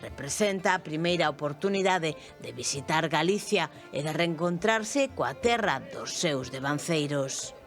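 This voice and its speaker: Woman, news narration, low pitch, formal reading, music underneath. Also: lively intonation, a little slow, few pauses. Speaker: news reporter.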